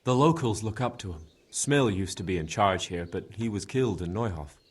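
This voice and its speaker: An actor playing a plainspoken, forthcoming medieval villager in a video game, with a husky voice. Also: male voice, fairly low pitch, lively intonation, normal speed. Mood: informative.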